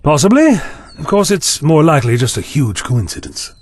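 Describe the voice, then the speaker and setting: Male actor as wry, persuasive time-travelling hero, animated and slightly high-pitched, for audio drama. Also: moderate pace, husky, dry recording. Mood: wry, musing.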